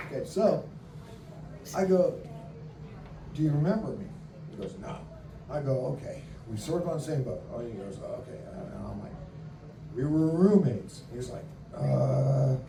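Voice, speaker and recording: A man telling a lively, jokey story at a veterans' meeting, acting out the dialogue, recorded far off in an echoey room. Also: medium pitch, background noise. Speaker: submarine veteran member. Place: meeting hall.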